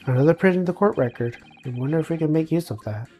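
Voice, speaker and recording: A woman's voice: sits low, animated, quick pace, mellow, soft background music. A woman streamer reading game dialogue aloud theatrically, switching between character voices.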